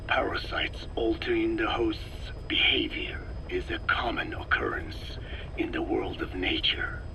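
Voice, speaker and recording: Whispering, gravelly old scientist. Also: male voice, lowish voice, rough recording. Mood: grave, eerie fascination. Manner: slow, deliberate, lecturing. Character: elderly male scientist, frail, erudite, grave. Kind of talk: video game audio drama acting.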